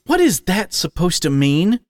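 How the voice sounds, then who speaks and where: A man's voice: high-pitched, wildly swooping pitch, unhurried, no echo, voice pushed up, heavily acted. A man voicing a young adult son, a wolf living as a horse, who sounds hurt and defiant with a rising voice.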